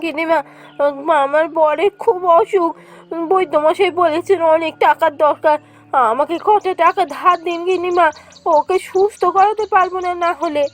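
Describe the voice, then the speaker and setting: Woman playing a high-pitched pleading village wife. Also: normal speed. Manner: pleading, emotive. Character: poor, anxious, pleading young village wife. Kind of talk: Bengali fairy-tale cartoon voice acting.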